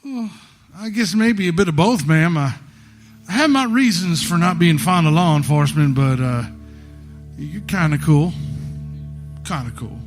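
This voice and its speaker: A man playing a hesitant, easygoing witness in an audio drama, halting, mumbling and deferential. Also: on the high side, animated, faint music behind. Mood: wary, amused. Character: hesitant, easygoing male witness wary of police.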